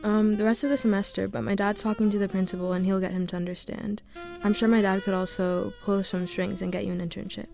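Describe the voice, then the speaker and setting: A woman's voice: mid-range voice, level tone, fast, vocal fry, over music, lightly acted. A young woman playing a wealthy, entitled student girlfriend in an amateur radio drama, with a pushy, hurt manner. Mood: eager, pleading.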